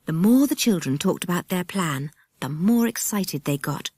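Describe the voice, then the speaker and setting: A British woman narrating a children's storybook in an expressive, animated storytelling manner. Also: lowish voice, normal speed, slightly rough, no room sound. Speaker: audiobook narrator.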